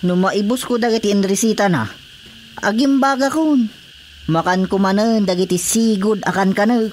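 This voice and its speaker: A man's voice: high-pitched, moderate pace, natural voice. Stubborn male village councilor acted by a man, dismissive, northern Philippine accent, radio comedy drama, faint insects in the background. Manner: dismissive, protesting. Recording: faint cricket-like background noise.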